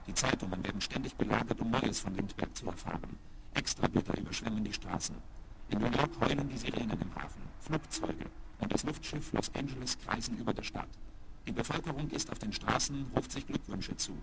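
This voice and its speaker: A man's voice: mid-range voice, brisk, lightly acted. A man narrating a German children's audio drama in a scripted, dramatic documentary style. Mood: urgent, dramatic. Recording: vinyl rip with loud background noise.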